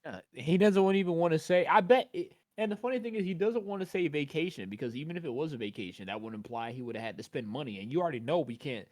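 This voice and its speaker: A man's voice: on the high side, lively intonation, fast talker, few pauses, a little mellow. A man co-hosting a casual commentary chat, rambling through long points full of fillers and asides. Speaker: co-host.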